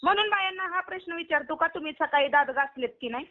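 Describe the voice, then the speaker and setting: Very high-pitched woman, confrontational, insistent questioning, heated argument, muffled lo-fi phone call. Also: fast talker. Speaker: online video performer receiving a prank call.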